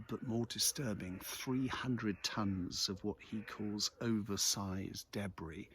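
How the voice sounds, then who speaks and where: Local vlogger: older male, low register, unhurried and hesitant, reflective casual chat with a husky edge. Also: fairly bright tone. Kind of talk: casual monologue about local events. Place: outdoors in a quiet churchyard.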